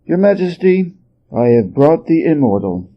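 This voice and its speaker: Guy doing a courtly spirit minister, earnest, polite, highish voice, storybook, bit muffled. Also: big pitch swings, normal speed, a little hiss or hum. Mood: respectful. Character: deferential, prudent spirit serving as chief minister. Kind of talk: storybook character voice.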